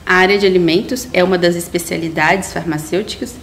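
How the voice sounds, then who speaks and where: Woman, lowish voice, formal explainer, faint music. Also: fairly even intonation, fast. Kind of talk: explanatory statement.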